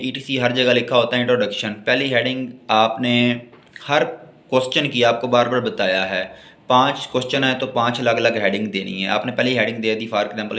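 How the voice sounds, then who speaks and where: A man's voice: medium pitch, fairly bright tone. A man with a Pakistani accent giving a law lecture, didactic, moving between word-by-word dictation and explanation. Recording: echoey room.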